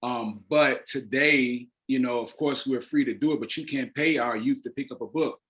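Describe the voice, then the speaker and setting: Male interview guest, mid-pitched, earnest and deliberate, stresses key words. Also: a bit bright, slight background noise. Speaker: interview guest, playwright and actor. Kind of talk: earnest explanatory interview talk.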